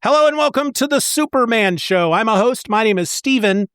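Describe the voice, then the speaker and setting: Male podcast host, higher-pitched, casual or reading promos, recorded dry. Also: lively intonation, brisk, fairly bright tone, smooth, dry recording. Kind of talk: casual chat and scripted show promos.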